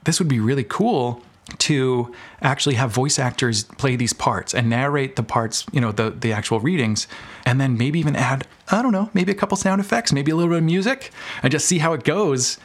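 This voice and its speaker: American man, mid-range pitch, podcast guest, casual conversational storytelling, informal phrasing. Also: a little fast. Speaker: podcast guest, audio drama producer. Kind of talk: casual interview chat.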